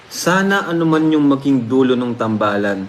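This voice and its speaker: A man's voice: mid-range voice, animated, normal speed. Casual male reaction host, noisy background. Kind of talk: casual reaction commentary to viewers. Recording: clear background noise.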